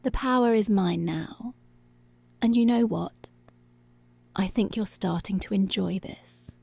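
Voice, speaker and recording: A woman playing a dominant, seductive policewoman in an intimate ASMR roleplay, giving slow, deliberate, breathy commands that often drop to a whisper. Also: mid-range voice, warm tone, dry recording, exaggerated voice. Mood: commanding, menacing. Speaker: ASMR voice actor.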